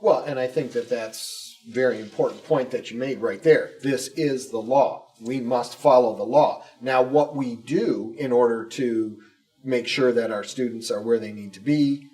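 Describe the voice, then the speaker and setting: A man with a mid-pitched voice co-hosts an informal panel. He talks haltingly, restating points for emphasis, and is recorded a little way from the microphone. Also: darkish tone, recorded from a distance. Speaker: communications director co-hosting a district show.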